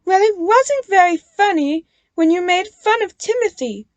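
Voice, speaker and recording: A clever female engine who speaks in a knowing, teasing way, put on by a woman reading a storybook. Her voice sits high and moves a lot. The sound is dry and close. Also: lively intonation, unhurried, dry recording, dull audio. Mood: stern.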